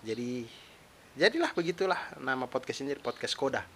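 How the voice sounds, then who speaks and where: A man's voice: medium pitch, big pitch swings, brisk, fairly bright tone. Chatty eastern Indonesian man, faint hiss. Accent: mixed eastern Indonesian. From East Nusa Tenggara, Indonesia. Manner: chatty, self-deprecating, laughs often. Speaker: podcast host, stand-up comedian. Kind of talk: casual solo podcast monologue.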